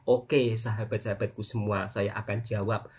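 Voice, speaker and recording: A man's voice: lowish voice, little pitch movement, moderate pace, darkish tone, slightly rough. A middle-aged man with an Indonesian accent talking casually to his viewers in a personal vlog, repeating words for emphasis and often addressing the audience directly. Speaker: vlogger, channel host, migrant worker.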